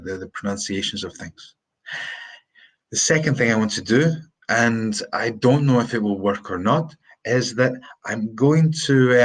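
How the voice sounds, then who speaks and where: A Scottish man in his thirties giving a live-streamed pronunciation lesson, chatty and rambling, repeating words and sounding them out slowly. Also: on the low side, fairly bright tone, poor audio. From Scotland. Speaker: English teacher. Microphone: phone or webcam on a live stream.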